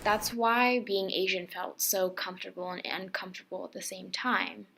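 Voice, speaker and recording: A young woman reading a personal essay as voice-over, soft and reflective, often close to a whisper. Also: medium pitch, steady tone, moderate pace, creaky, slightly rough. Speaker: student filmmaker narrating.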